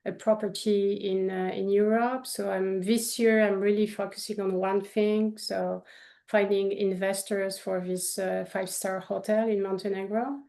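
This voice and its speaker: A woman's voice: medium pitch, unhurried, creaky. A woman from France with a French accent, talking casually as an artist guest in an interview, with many fillers and restarts. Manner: animated, frequent fillers and restarts.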